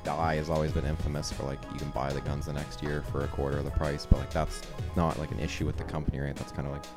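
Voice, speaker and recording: Fast-talking male panelist, very deep voice, casual filler-heavy delivery, clear music underneath. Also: little pitch movement. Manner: rambling, many likes and fillers. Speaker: panel guest. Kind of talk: casual chat.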